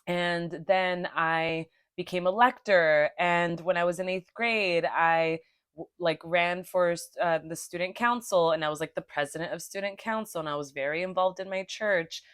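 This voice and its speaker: A woman's voice: on the low side, moderate pace, clean tone, no room sound, clean studio sound. A young woman from Los Angeles, a native Spanish speaker, hosting her own podcast in a casual, chatty way, swearing freely and tagging sentences with "right?" and "okay?". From Los Angeles, California. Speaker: podcast host and sex coach. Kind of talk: casual solo podcast monologue.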